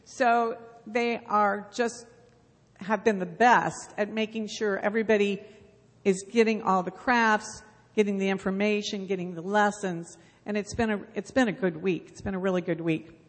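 A middle-aged woman speaks to a church congregation through a handheld microphone, chatty and self-deprecating as she tells a story. Her voice sits at an ordinary middle pitch. The long reverb of the church sanctuary trails behind her words. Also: steady tone, moderate pace. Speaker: church Bible school leader. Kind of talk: casual church announcement and talk.

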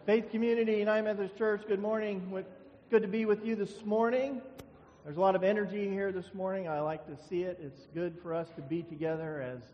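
A man's voice: high voice, steady tone, a little fast, a little hiss or hum. A male pastor giving an informal welcome at the start of a church service. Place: inside a church. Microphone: church microphone. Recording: muffled, echoey church hall sound.

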